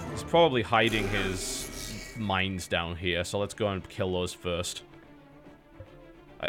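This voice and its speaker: An English man giving live commentary on his game, explaining his tactics as he plays and swearing now and then. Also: fairly low pitch. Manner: analytical, explains tactics while playing, occasional swearing. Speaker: streamer playing a game. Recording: game music playing behind.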